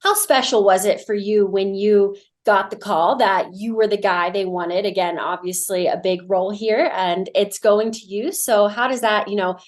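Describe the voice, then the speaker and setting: A woman with an American accent hosting an upbeat promotional interview for a sports team. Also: medium pitch.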